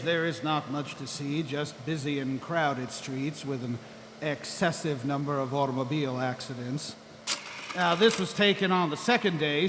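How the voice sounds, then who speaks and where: Actor as sober scientist lecturing in echoey hall. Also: male voice, on the high side, close to an everyday voice. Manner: measured, lecturing. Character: sober, clinical male scientist briefing colleagues. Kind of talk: live audio drama acting. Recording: echoey hall, clear background noise.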